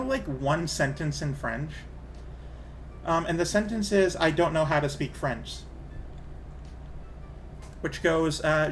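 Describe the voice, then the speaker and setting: A man's voice: on the high side, fairly bright tone, a little hiss or hum. A man streaming a game, chatting casually in a rambling way and often restarting his sentences. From Canada. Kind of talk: casual chat while gaming.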